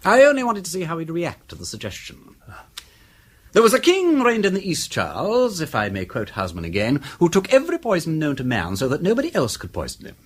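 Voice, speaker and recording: An actor playing a flippant, witty English aristocrat detective in a radio drama, urbane and drawling, teasing with mock politeness. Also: male voice, fairly high pitch, huge pitch swings, brisk, husky, heavily acted. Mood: wry, reflective. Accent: upper-class English.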